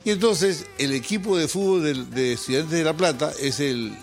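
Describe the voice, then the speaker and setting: A man's voice: a little high, normal speed, faint music behind. A man hosting a casual interview, joking playfully and laughing easily. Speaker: interviewer host.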